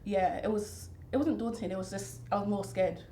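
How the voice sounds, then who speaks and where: A woman's voice: medium pitch, a little fast, dark tone, reverberant, a little hiss or hum. A British woman answering interview questions in a blunt, emphatic way. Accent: British English. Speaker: guest. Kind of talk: casual interview chat.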